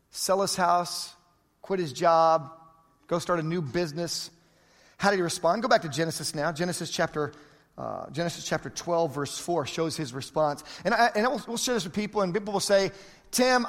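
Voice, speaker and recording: A man's voice: sits high, a bit bright. A man preaching a sermon, emphatic and repeating phrases for effect, speaking in a large church hall. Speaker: pastor. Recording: long hall reverb.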